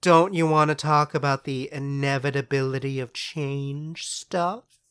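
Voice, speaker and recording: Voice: mid-range voice, steady tone, a little slow, a bit bright, smooth, natural voice. An actor playing a mischievous fairy servant in a modern comedy audio drama, flippant and mock-deferential. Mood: flippant, breezy. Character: mischievous, cheeky young fairy servant trickster.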